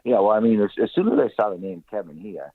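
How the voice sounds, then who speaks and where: A man's voice: fairly low pitch, big pitch swings, fast talker, slightly rough, no room sound. A man giving conversational sports analysis as a call-in guest, often repeating phrases for emphasis. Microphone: phone call-in. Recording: muffled narrow-band phone call, radio-like.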